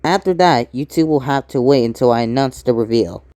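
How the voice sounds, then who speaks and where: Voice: mid-range voice, brisk, a little mellow, dry recording, studio quality. A person doing amateur cartoon voice acting in a theatrical way, switching between characters.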